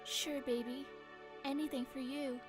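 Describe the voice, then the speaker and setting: Visual novel girlfriend played by a woman: high voice, young, attentive, stiff robotic calm, retro slang, music underneath. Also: a little slow. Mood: flatly soothing. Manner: flat, emotionless, robotic delivery. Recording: music behind the voice.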